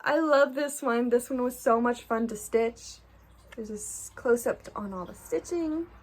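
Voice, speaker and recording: A young woman hosting a casual craft vlog, her nose a little stuffed up from allergies. Her voice sits a bit high, and she talks in a chatty, rambling way with frequent ums and little laughs. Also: measured pace. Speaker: cross-stitch designer hosting a flosstube. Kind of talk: casual show-and-tell chat to camera.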